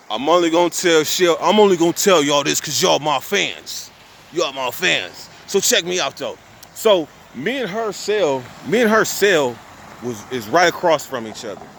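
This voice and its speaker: Young dude rambling a story full of slang and cussing, kinda high voice, faint traffic noise behind. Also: male voice, a bit bright. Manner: profane, rambling, street slang; restarts and repeats himself often. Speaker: vlogger telling a story. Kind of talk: casual storytelling to the camera.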